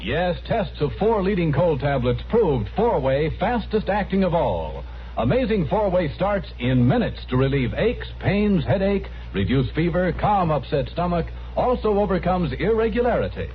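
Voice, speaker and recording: A man delivering a brisk, emphatic 1950s radio advertising pitch. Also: sing-song. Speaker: commercial announcer. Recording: dull vintage radio recording, faint music.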